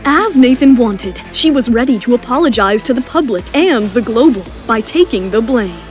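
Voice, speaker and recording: A woman's voice: fairly high pitch, expressive, normal speed. A woman reading dramatic scripted narration as the narrator of an audio drama. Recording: music behind the voice.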